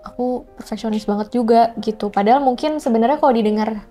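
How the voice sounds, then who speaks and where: A woman chatting casually as an interviewed singer-songwriter, expressive and colloquial with many interjections. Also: on the high side, quick pace, faint music behind. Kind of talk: casual chat.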